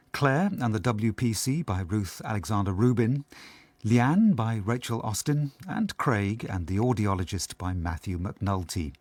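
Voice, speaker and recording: Radio announcer guy reading out credits, lowish voice, dry studio sound. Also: no room sound. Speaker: continuity announcer. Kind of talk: scripted broadcast credits reading.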